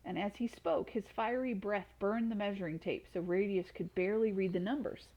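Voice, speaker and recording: A woman's voice: mid-range voice, steady tone, normal speed, dull audio. A woman storyteller reading expressively to children. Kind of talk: expressive storybook read-aloud.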